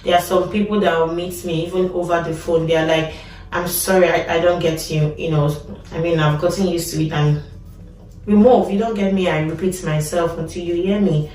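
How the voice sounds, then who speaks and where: A woman's voice: on the low side, fairly even intonation, moderate pace, a little mellow. A woman with a Nigerian accent, a nurse giving advice in a casual vlog, talking conversationally and repeating words for emphasis. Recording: echoey room, distant from mic.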